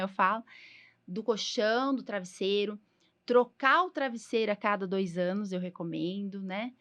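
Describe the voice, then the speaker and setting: A woman's voice: mid-range voice, bright, forceful tone. A woman with a Brazilian Portuguese accent, a doctor hosting a casual health podcast, chatty and explanatory with frequent tag questions. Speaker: podcast host, allergist doctor.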